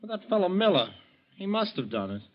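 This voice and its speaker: An actor playing a scheming young grown son in 1940s radio style, hesitant and falsely solicitous. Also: male voice, sits high, sing-song, brisk. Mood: brisk, nervy. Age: young adult. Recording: dull vintage 1940s radio recording.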